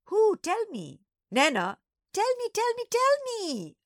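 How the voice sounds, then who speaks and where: A woman voicing a small, nosy schoolgirl, a little sister with a squeaky voice and eager, pestering questions in an audiobook story. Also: sing-song, moderate pace, sharp tone, dry recording, studio quality, full character voice. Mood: excited, pestering.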